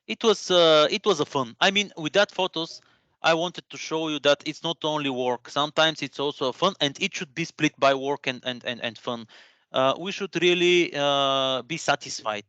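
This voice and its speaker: A man's voice: a little high, moderate pace, bright, forceful tone, clean tone, no room sound, dull audio. A man in his thirties who speaks English as a second language, presenting informally and encouragingly, often searching for words and repeating himself. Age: 30s. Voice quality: non-native English speaker. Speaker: guest presenter at a career webinar.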